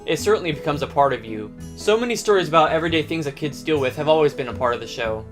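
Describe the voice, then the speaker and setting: A man narrating a scripted personal story as a host. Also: fairly high pitch. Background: plucked guitar music.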